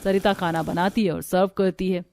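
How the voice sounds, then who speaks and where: A woman narrating a scripted animated family story. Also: on the low side, moderate pace. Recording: music behind the voice.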